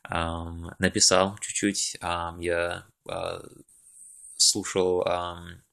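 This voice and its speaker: A young man who left Russia as a child, talking casually and hesitantly, often pausing with fillers as he searches for words. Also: deep voice, little pitch movement, vocal fry, fairly bright tone. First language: English now dominant. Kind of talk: casual monologue recording.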